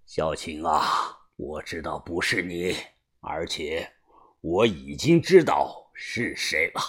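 A man voicing a calm, cold, shrewd old gang boss in an audiobook, speaking in a grave, deliberate hush. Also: low-pitched, slightly rough, voice pushed down, exaggerated voice.

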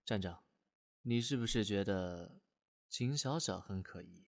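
A man playing a dutiful secretary to a spy chief, deferential and crisp, in a radio drama. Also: lowish voice, unhurried, no room sound, clean studio sound. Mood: respectful.

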